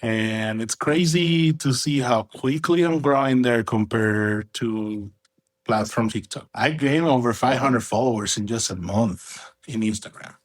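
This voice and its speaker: A man from Venezuela, a guest on an interview podcast, talking in a relaxed, rambling way and often tagging his sentences with "right?". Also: mid-range voice, a little slow, no echo. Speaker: guest, coffee roaster and content creator. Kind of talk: casual interview talk.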